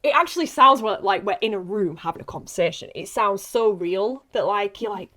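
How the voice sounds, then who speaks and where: A woman's voice: medium pitch, expressive, fairly quick, pushed and bright. A woman chatting casually and informally as an actress on a podcast, peppering her speech with "like". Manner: informal, heavy use of "like". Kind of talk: casual chat.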